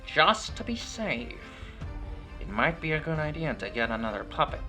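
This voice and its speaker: A man voicing a magic puppet in a horror story, calm and secretive, persuading and explaining in an ominous way. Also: a little high, normal speed, music in the background, voice pushed up. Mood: urgent, cautioning.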